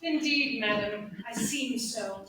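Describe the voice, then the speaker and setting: A woman in a staged Shakespeare reading playing a witty, lovestruck young gentleman in a theatrical, courtly manner. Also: fairly high pitch, takes it slowly, crowd noise. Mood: courtly teasing. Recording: distant, very echoey room, faint noise.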